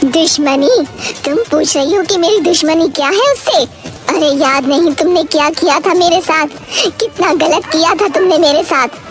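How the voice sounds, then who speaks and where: A woman doing a grieving ghost woman. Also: moderate pace. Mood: bitter. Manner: grieving, accusing, low and heavy. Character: vengeful female ghost haunting a well. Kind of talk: animated storybook voice acting.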